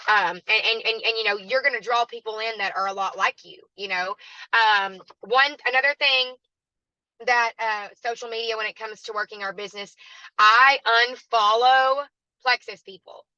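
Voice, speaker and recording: Raspy, bright-voiced woman. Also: on the high side, normal speed, dry recording, dull audio. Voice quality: raspy, hoarse voice. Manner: chatty and encouraging, addresses listeners as "y'all". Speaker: guest speaker at a team training. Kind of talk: motivational training talk.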